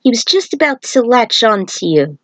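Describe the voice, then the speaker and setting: A woman's voice: a little high, sing-song, moderate pace, no echo. A woman voicing an earnest male shaman warrior who explains things in a fan fiction story. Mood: amazed, teasing. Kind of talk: character voice in fan fiction reading.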